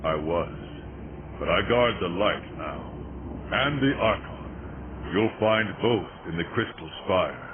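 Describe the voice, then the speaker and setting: Game guardian angel: veteran warrior, low firm soldierly voice, noise behind it. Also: male voice, expressive, slow. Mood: steady, respectful. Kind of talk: video game cutscene acting. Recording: noisy, tinny game audio.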